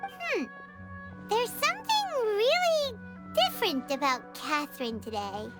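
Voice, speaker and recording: A woman voicing a tiny, excitable female companion in a video game, chirpy and exclaiming. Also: extremely high pitch, unhurried, full character voice. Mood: puzzled. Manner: chirpy, exclaiming, refers to self in third person. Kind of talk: English video game voice acting. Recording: music playing behind the voice. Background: game music.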